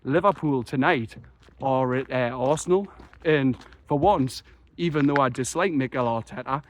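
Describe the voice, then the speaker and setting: A young man, croaky after a chest infection, talking passionately and rambling through a football match reaction. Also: mid-range voice, moderate pace. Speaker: football fan vlogger. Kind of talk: excited solo match reaction.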